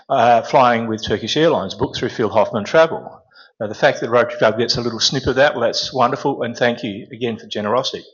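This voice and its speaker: Man, club member, husky, casual meandering speech, lo-fi recording. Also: mid-range voice. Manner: chatty, meandering. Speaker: club member giving a vote of thanks.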